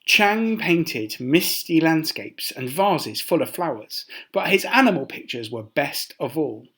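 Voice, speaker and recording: A man reads a traditional tale to children like a teacher in class. His delivery is unhurried and expressive, with wide swings in pitch and dramatic emphasis on key words. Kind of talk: children's story read aloud.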